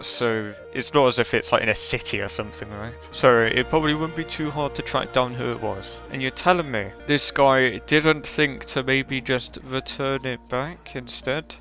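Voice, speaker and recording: A man's voice: medium pitch, bright, forceful tone. A man giving casual game commentary as a streamer, conversational and incredulous, with music behind him. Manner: conversational, incredulous, rhetorical questions. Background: faint game music.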